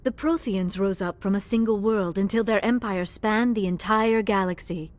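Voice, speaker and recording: An actress playing an earnest young alien researcher in a video game, speaking in a formal, precise, measured way. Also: female voice, mid-range voice, a little mellow, close to an everyday voice. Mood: intent, scholarly. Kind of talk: scripted video game dialogue.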